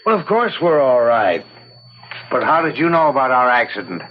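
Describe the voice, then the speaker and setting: A man's voice: mid-range voice, sing-song, moderate pace, lightly acted. Man playing a breezy middle-aged dad on muffled radio. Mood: amused, puzzled. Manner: hearty, breezy, teasing. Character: jovial middle-aged father, unflappable old war veteran. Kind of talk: old-time radio drama acting. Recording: dull, hissy old radio broadcast.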